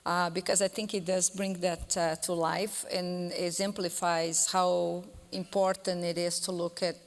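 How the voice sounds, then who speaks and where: A woman's voice: lowish voice, flat delivery, measured pace. A woman in her fifties with a Brazilian accent, telling a reflective story on a conference panel with frequent fillers. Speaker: panelist, chief scientist. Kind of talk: panel discussion answer. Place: large conference hall stage. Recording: long hall reverb.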